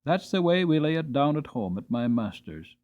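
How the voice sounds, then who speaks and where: A man's voice: a little high, very animated, moderate pace, darkish tone. A man voicing a polite, earnest young male groom, in a slightly dull recording.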